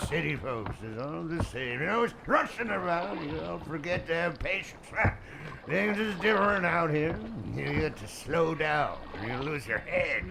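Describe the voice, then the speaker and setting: An actor playing a superstitious, cowardly old boatman with rustic sailor speech and a gravelly voice in a radio play. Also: a little high, expressive, normal speed, background noise. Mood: folksy, wary.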